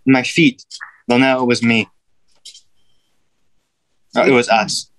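A man's voice: medium pitch, level tone, slow, a bit bright. Man acting as an ashamed, faltering teenage boy. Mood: guilty. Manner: hesitant, faltering. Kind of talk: script table read.

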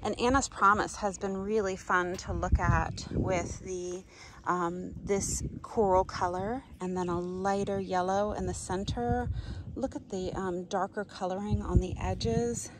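A woman giving a casual, unhurried tour of her potted roses, talking as she films on a pier. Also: mid-range voice. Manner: slow, relaxed, thinking aloud with fillers. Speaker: gardening channel host. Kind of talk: casual walking garden tour commentary. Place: outdoors on a wooden pier over open water. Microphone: handheld phone camera, speaker off screen. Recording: outdoor phone recording with ambient noise. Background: outdoor ambience.

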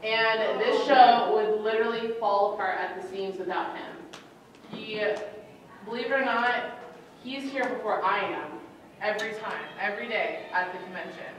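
A woman's voice: medium pitch, moderate pace. A woman hosting a convention event, introducing staff in informal live stage talk. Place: large indoor convention hall. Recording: echoey hall, far mic, crowd noise.

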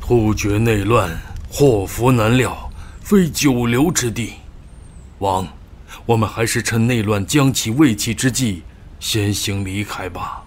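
Scheming court advisor for period audio drama, played by a man: lowish voice, unhurried, deferential, deliberate. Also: a little hiss or hum. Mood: cautious counsel. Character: shrewd, deferential male royal advisor, smoothly scheming. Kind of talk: Chinese costume radio drama acting.

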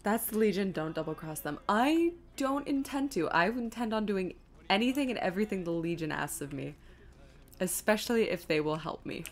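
A woman's voice: medium pitch, animated, normal speed. A woman streaming a game, giving casual, self-deprecating commentary as she rambles and thinks aloud. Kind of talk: casual live gameplay commentary, reading chat aloud.

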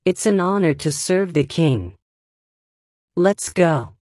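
A woman's voice: fairly low pitch, expressive, slow pace, a little mellow, no room sound, clean studio sound, voice pushed down. A woman voicing a simple, eager male donkey in a storybook. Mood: naive. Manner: naive, eager. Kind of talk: storybook reading.

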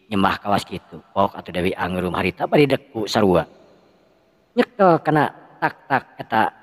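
A man narrating a folk tale with a Sundanese accent, lively and dramatic. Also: low-pitched, big-room sound. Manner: lively, with shouted sound effects. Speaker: storyteller.

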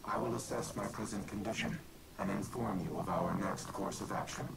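A man voicing an ancient, cold warmind AI in a robot frame, speaking in a measured, formal, whispery way for a video game cutscene. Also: on the low side. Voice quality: gravelly, whispery machine voice. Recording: noisy game capture.

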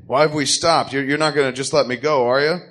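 A man's voice: medium pitch, fairly even intonation, fast talker, a bit bright, natural voice. A man voicing a panicky, wisecracking hired killer, whining and stammering in a comedic radio drama. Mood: panicked, pleading.

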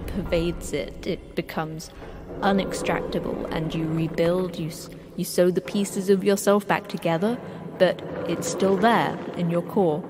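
An actress playing a terse, guarded manager of a secret facility in an audio drama, speaking in a measured, blunt way. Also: female voice, on the low side, noisy background, natural voice.

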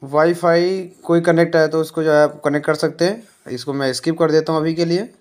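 A man talks through a phone setup in an unhurried, explanatory way. His voice sits a little high for a man. He often pauses on filler words as he moves from step to step. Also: fairly even intonation, darkish tone. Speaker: tech tutorial presenter.

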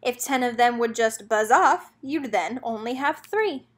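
A woman's voice: on the high side, lively intonation, measured pace. A woman narrator reading a children's picture book aloud, expressively and in a rhythmic lilt of rhyming verse.

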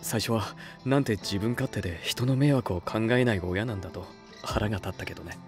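A male actor playing an earnest, soft-spoken young record label head in an anime voice drama, speaking in a measured way. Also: lowish voice, soft background music, natural voice. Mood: earnest, reflective.

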